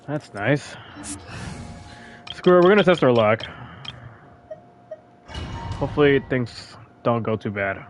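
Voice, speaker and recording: A man's voice: medium pitch, animated, slow, pauses often, soft background music. A man giving casual, offhand commentary as he plays a game, half muttering to himself. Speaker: gamer playing through a story quest.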